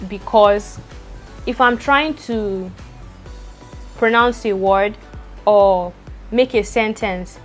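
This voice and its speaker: Fast-talking young woman who stutters. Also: on the high side. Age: young adult. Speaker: vlogger. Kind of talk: personal vlog talk to camera. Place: indoors at home, rain outside.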